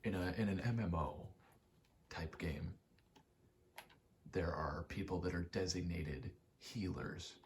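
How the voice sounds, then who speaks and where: A soft-spoken man giving rambling ASMR game commentary, halting and casually profane, often slipping into a whisper. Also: low-pitched, slight background noise. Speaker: gaming video creator.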